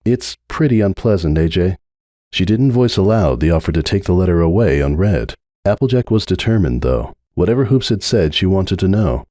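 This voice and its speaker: A man's voice: sits low, a little mellow, no room sound. A man reading a story aloud as a narrator in a dramatic audiobook style, close on the mic.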